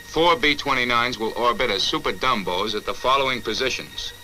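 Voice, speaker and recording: A man's voice: mid-range voice, fairly quick, sharp tone, soft background music, low-quality recording. A man formally reading out military orders in a terse, procedural way, on a vintage 1940s film soundtrack. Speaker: military briefing narrator.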